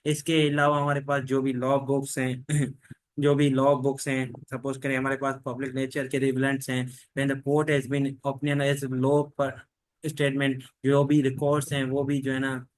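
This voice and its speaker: A man's voice: medium pitch, completely flat delivery, moderate pace. A man teaching an online class, explaining steadily and repetitively with many fillers.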